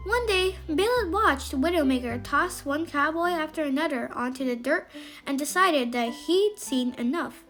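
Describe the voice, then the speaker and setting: A woman narrating a scripted children's bedtime story in an expressive, emphatic way. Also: high voice, moderate pace, faint music behind.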